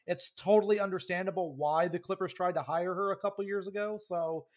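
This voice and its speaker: A young man co-hosting a casual sports podcast, chatty and animated, quick to laugh. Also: high voice. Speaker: podcast co-host.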